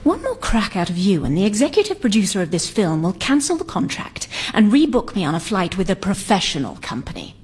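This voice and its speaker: A vain and haughty film star in a radio comedy. She speaks in a grand, theatrical, condescending way at a middling pitch, with faint noise in the recording. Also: huge pitch swings, a little fast, few pauses, a little mellow. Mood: imperious. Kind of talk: radio sitcom acting.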